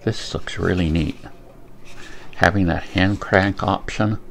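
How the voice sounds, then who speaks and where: Deep creaky-voiced man reviewing gadgets. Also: fairly even intonation, a bit bright. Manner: rambling, pauses to think. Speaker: product reviewer. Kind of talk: casual unscripted unboxing review.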